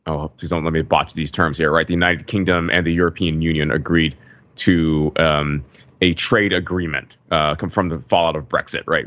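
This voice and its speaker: Middle-aged man, very low voice, measured wry explaining, dry and slightly dull recording. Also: a bit bright, no echo. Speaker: podcast co-host. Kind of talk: casual explanatory discussion.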